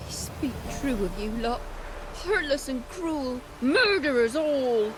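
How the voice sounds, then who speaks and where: A woman's voice: high voice, animated, slow pace, background noise. A woman playing a careworn, blunt old peasant grandmother in a video game. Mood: bitter. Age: older. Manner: rustic, scolding, blunt. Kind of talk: video game cutscene acting.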